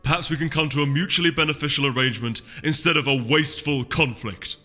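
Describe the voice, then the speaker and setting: A man's voice: fairly high pitch, fast talker, pushed and bright, faint music behind, pitched above the performer's own voice. A man voicing a haughty, disdainful unicorn duke in a storybook reading, with a pompous, speechifying manner. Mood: measured, persuasive. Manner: pompous, oratorical.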